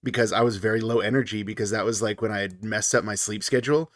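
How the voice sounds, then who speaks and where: A man's voice: on the low side, steady tone, quick pace, a bit bright, no echo, studio quality. A man co-hosting a podcast, congested from a cold, joking and laughing easily in casual chat. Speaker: podcast co-host.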